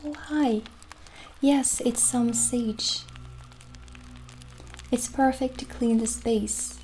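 A woman in her thirties playing a kindly witch shopkeeper in a gentle, soothing, soft-spoken ASMR roleplay. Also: fairly high pitch, very slow. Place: small candlelit indoor set. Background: soft background music.

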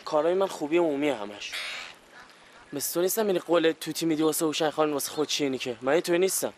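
A man's voice: a little high, background noise, natural voice. A man playing a boastful, self-pitying fellow. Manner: theatrical, mock-praising, sulky.

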